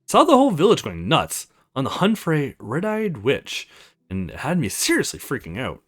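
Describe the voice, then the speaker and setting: Man acting a young male hero, earnest, protective, mid pitch, animated, visual novel game. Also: normal speed, breathy edge. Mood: worried.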